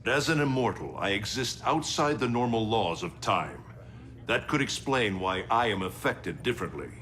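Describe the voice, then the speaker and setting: An actor playing a grave, noble immortal god who speaks formally and with authority in a video game. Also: male voice, lowish voice, lively intonation, moderate pace, a bit bright.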